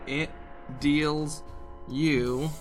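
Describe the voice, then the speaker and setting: A man's voice: a little high, steady tone. Man running a tabletop game over music. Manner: informal, halting, thinking aloud. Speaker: game master. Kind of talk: casual tabletop game narration. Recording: music behind the voice. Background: game soundtrack music.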